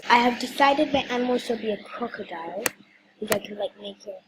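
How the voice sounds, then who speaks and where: A woman's voice: fairly high pitch, fairly quick, heavy background noise. A woman hosting a casual vlog, talking hesitantly with many ums while she is losing her voice. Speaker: video host. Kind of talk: casual game walkthrough vlog.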